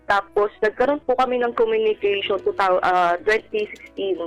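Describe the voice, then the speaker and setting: A young woman with a Filipino accent recounts a personal story as a radio caller. She is polite and deferential toward the hosts. Soft music sits faintly behind her. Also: mid-range voice, moderate pace, rough recording. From Philippines. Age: young adult.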